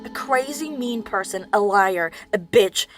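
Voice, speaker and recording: An actress playing an anguished, defiant mythical Cretan woman in a poetic audio drama, with intense, deliberate delivery. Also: medium pitch, animated, music in the background, natural voice. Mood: angry, bitter.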